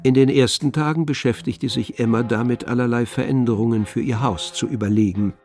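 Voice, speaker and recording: A man's voice: medium pitch, steady tone, fairly quick, darkish tone. A man narrating a literary German radio drama as a scripted storyteller. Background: music.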